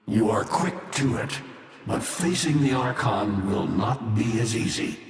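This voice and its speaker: A man's voice: medium pitch, animated, unhurried, gravelly. A male actor playing an ancient, brooding alien war-being in a video game, grave and scornful, with solemn, portentous delivery drenched in reverb. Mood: grave counsel. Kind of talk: theatrical scripted video game voice acting. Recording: cavernous long reverb, faint background noise.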